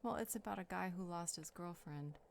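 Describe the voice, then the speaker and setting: A woman's voice: lowish voice, a little fast, creaky. A woman playing an affectionate, teasing, soothing vampire healer in an intimate audio roleplay. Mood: storytelling, soothing. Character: warm, playful, caring female vampire healer.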